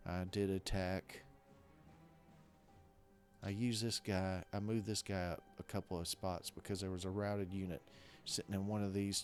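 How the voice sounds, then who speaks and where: A man with a hoarse, rough voice from a weekend of coughing, talking casually and haltingly through a strategy game. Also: sits low, darkish tone. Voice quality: rough-sounding voice. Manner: rambling, hesitant, many restarts. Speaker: strategy game video creator. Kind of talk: casual gameplay commentary.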